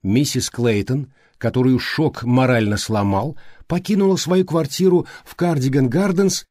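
A man reading a mystery audiobook as scripted narration, with measured, clearly phrased delivery. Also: mid-range voice, darkish tone, dry recording, clean studio sound.

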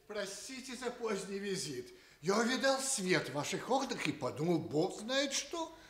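An actor playing a courtly, fiercely loyal old musician in a Soviet radio play, speaking with theatrical emphasis. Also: male voice, high-pitched, sing-song, normal speed, fairly bright tone. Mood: courteous, gently wry. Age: older.

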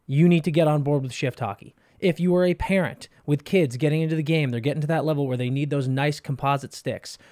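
Casting note: male podcast co-host, thirties, punchy pitchman energy, slightly higher voice, dry close-miked studio sound. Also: darkish tone, dry recording. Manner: hyped, emphatic pitchman delivery. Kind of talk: hockey podcast banter and sponsor read. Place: studio with brick and wood walls. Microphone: boom-arm podcast mic.

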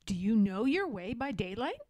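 A timid young male pig from a storybook, acted by a woman. The voice is high and lively, with an earnest, polite and worried manner. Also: normal speed, no echo. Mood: hesitant, polite.

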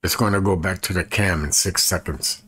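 Deep, husky middle-aged man. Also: fairly even intonation, moderate pace, fairly bright tone. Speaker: evangelist and video creator. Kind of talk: casual tech demonstration chat to camera. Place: small bedroom, indoors.

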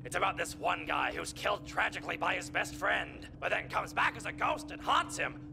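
A man's voice: sits high, big pitch swings, brisk, creaky, noisy background, full character voice. A man voicing a brash, cheerful henchman turned producer in a parody anime dub, boastful and exuberant.

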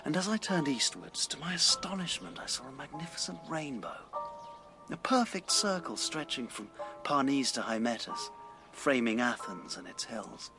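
An actor playing a proud, melancholy, impoverished Victorian writer in a radio drama, with an earnest, literary English delivery. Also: male voice, mid-range voice, very animated, normal speed, over music. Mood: dreamy, earnest. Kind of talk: BBC radio drama acting.

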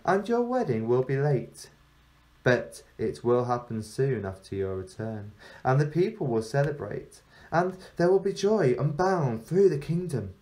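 A man's voice: medium pitch, sing-song, a little slow, dark tone. A man voicing an eager, chirpy bearded dwarf in a storybook reading.

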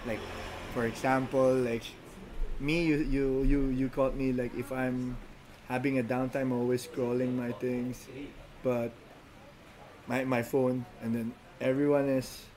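A man from the Philippines, speaking as a non-native speaker, talks casually as a podcast guest, halting with many restarts and fillers. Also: mid-range voice, darkish tone, rough recording. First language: Filipino languages. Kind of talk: casual chat.

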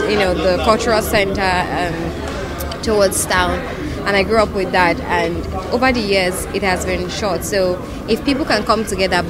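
A young woman giving an articulate, emphatic interview answer into a handheld mic outside a venue. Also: lowish voice. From Nigeria. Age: young adult. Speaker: audience member being interviewed. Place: covered entrance outside a venue. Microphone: handheld microphone held close. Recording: loud crowd and outdoor bustle.

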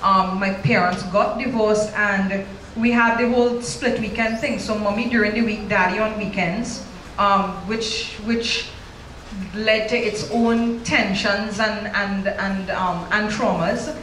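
A woman's voice: mid-range voice, fairly even intonation, slow pace, a bit bright. A middle-aged woman from Trinidad with a Trinidadian accent, an author speaking candidly into a handheld mic before a large audience, often ending lines with "right?". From Trinidad and Tobago. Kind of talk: live onstage conversation. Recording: roomy hall with faint noise.

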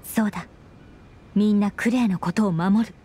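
A woman voicing a composed, protective young female leader in a Japanese anime game, speaking in a measured, assured way. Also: mid-range voice, mellow, a little hiss or hum, lightly acted. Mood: reassuring. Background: music.

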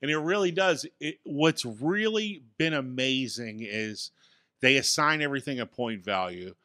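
A man in his forties co-hosting a casual tech podcast, telling a relaxed, self-deprecating story from a small studio with a boom mic. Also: a little slow, dry recording. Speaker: podcast co-host. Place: small home studio with acoustic panels. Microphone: studio mic on boom arm.

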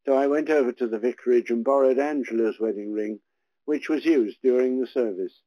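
An older man, a retired parish priest, telling gentle, relaxed stories from his ministry. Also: mid-range voice, a little fast, dry recording, highs cut off. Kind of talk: reflective storytelling.

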